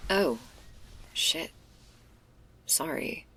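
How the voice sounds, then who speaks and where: A woman playing a laid-back, caring but anxious stoner werewolf in an intimate ASMR roleplay, speaking in casual slang with a hesitant, husky, breathy murmur that drifts into whispers. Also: low voice, expressive, very slow, a bit bright, full character voice. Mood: apologetic, uneasy.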